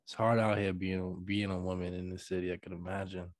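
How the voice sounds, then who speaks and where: Low-voiced man asking interview questions. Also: level tone, no room sound, studio quality. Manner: loose, halting questions with fillers. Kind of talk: oral history interview. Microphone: video call.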